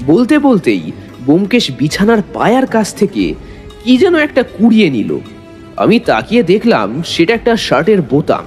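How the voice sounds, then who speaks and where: Expressive higher-voiced male story narrator. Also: moderate pace, faint music behind. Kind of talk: dramatic scripted narration.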